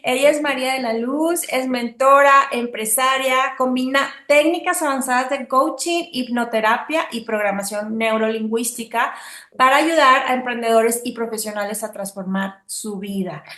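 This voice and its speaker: A woman's voice: fairly high pitch, normal speed. A woman hosting a podcast interview, talking casually and effusively. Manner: effusive, warm praise of guest. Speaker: podcast host. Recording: roomy echo.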